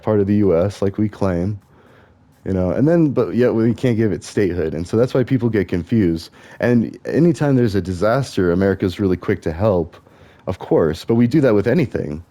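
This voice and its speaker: A young man with a deep voice, musing on tangents while he plays a game. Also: warm tone. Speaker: gaming vlogger. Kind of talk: rambling commentary while playing a game.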